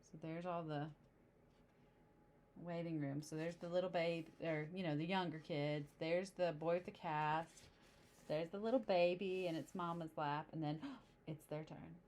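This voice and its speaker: A woman with a slightly low voice reads a children's storybook aloud. She slips into chatty asides, explaining things simply for young listeners. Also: fairly even intonation, a little slow, a little mellow, slight background noise. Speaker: storybook reader.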